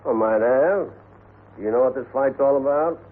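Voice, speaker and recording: An actor playing a businesslike, wary railroad agent with brisk, plain-spoken delivery in 1950s western radio style. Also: male voice, medium pitch, lightly acted. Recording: tinny, noisy old radio recording.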